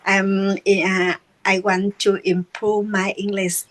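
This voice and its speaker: A woman with a Vietnamese accent speaking slowly and hesitantly as a class participant on a video call. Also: mid-range voice, little pitch movement, poor audio. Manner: slow, hesitant, shy.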